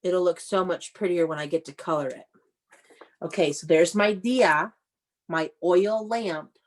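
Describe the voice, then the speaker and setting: A woman's voice: fairly low pitch, a little slow. A woman working as an elementary school teacher, leading an interactive lesson for young children with encouraging praise and step-by-step instructions.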